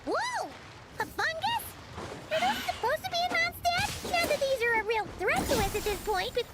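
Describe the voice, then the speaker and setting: Game fairy sidekick: very high childlike squeak, chatty, excitable, easily indignant, lively swings, noisy capture. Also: female voice, moderate pace. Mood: excited, curious. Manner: third-person self-reference, exclamatory, indignant. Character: tiny chatty excitable girl fairy companion. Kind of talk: video game voice acting.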